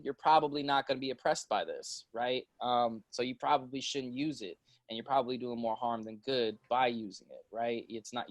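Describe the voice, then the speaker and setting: A man's voice: medium pitch, dry recording. A man speaking animatedly and emphatically on a casual panel, full of slang, "like" and "right?".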